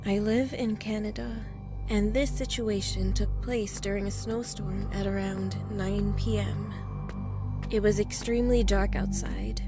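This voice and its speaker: A woman reading a scripted first-person scary story as a narrator. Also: medium pitch. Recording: loud music bed behind voice. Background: music.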